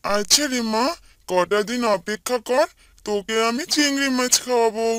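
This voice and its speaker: A woman voicing a poor, gentle, middle-aged fisherman father who talks in a pleading, pious way. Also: a little high, moderate pace, no room sound, clean studio sound, voice pushed down, lightly acted. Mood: tender.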